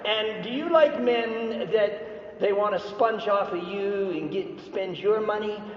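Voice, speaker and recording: A man's voice: sits high, slow, slight background noise. A man with a Canadian accent giving a playful live business talk, teasing the audience. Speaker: business speaker. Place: large hall at an expo. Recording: echoey hall, distant mic, dull sound.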